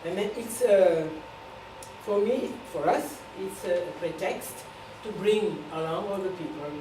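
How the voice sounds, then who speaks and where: Woman, slightly low voice, halting earnest announcement, distant mic, noisy background. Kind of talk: announcement and remarks to a gathering. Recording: echoey, noisy room from afar.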